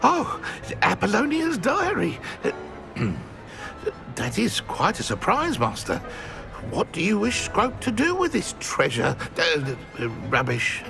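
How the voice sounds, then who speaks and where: An actor playing an anxious, servile male house elf in a video game, gravelly and hesitant. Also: high-pitched, wildly swooping pitch, takes it slowly, music in the background, heavily acted, poor audio. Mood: nervous, deferential. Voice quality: creaky, gravelly. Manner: hesitant, speaks of himself in third person. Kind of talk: video game character acting.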